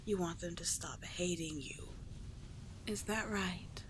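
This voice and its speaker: A woman playing a cunning, doting sea witch in an ASMR roleplay, breathy and measured, with coaxing, condescending endearments. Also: lowish voice. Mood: soft, soothing. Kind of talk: ASMR roleplay, scripted monologue. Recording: clear steady background ambience noise.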